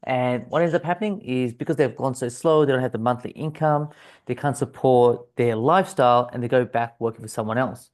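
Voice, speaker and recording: A man with an Australian accent, a business coach talking straight to camera in a conversational pitch that repeats key phrases and uses frequent fillers. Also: brisk, a little mellow. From South Africa, raised in Australia.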